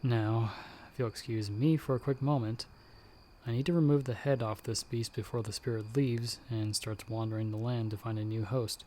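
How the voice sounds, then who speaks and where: A man playing a battle-hardened werewolf hunter in an ASMR audio roleplay, speaking directly to the listener in a measured, dryly understated way. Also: medium pitch, steady tone, mellow, a little hiss or hum, lightly acted. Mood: composed, matter-of-fact. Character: battle-hardened male werewolf hunter with healing magic, calm mentor.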